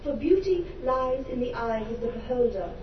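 A woman's voice: fairly high pitch, lively intonation, moderate pace. An Irish woman performing her spoken word poetry to a live audience, impassioned and theatrical with wry humorous asides. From Ireland. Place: live venue before an audience. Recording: roomy, distant, dull live recording with background noise.

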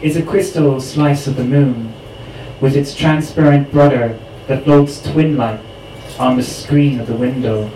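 A man from Ireland recites his own poem in a slow, steady way, his pitch staying flat and level. He is picked up from some distance in an echoey room, with clear background noise around him. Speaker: poet at open mic. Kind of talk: spoken word poetry reading.

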